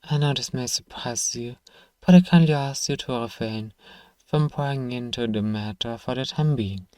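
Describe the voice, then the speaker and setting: A man performing an ASMR fantasy roleplay as a gruff but soft-hearted apothecary, recorded close to the microphone. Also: medium pitch, moderate pace, no echo. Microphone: close, dry microphone.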